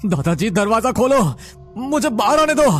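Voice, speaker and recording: A man playing an earnest, protective young grandson and husband who speaks pleadingly in an animated horror story. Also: very high voice, normal speed, faint music behind. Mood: determined, urgent.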